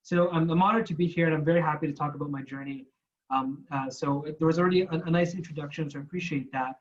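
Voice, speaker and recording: A man's voice: a little high, steady tone, fast, lo-fi sound. A young man from Canada giving a frank, informal talk with slides, restarting his sentences and repeating words often. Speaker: guest speaker.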